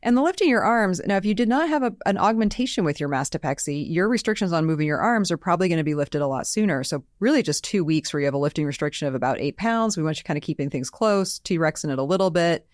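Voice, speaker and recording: A woman's voice: on the low side, animated, fast, few pauses, no echo, clean studio sound. An American woman co-hosting a health Q&A podcast, chatty and explanatory in a conversational style. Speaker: podcast co-host and nutritional therapy practitioner.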